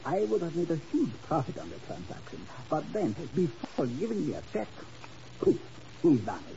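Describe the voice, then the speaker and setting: A man's voice: on the high side, wildly swooping pitch, moderate pace, warm tone. A male actor playing a greedy, self-adoring baron in a 1950s radio drama, effusive and theatrical, with oily endearments. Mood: breezy, self-important. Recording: hissy, dull old radio recording.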